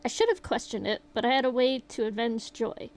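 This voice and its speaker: A woman voicing a shaken young survivor, reading the account aloud in a steady, rueful way. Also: a little high, fairly quick, natural voice. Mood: grim retelling. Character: shaken young survivor recounting a horror night.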